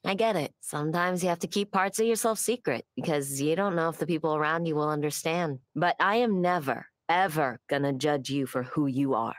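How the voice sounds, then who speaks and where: A woman's voice: low voice, brisk, few pauses, no room sound, clean studio sound, lightly acted. A woman playing a blunt, laid-back, self-assured young romantic partner in a video game cutscene, speaking frankly. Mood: reassuring. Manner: frank, easygoing.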